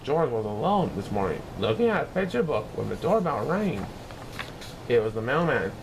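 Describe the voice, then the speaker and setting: A man narrating a children's storybook in an expressive, deliberate storytelling manner with dramatic emphasis. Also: medium pitch. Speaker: storybook reader. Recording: clear background noise.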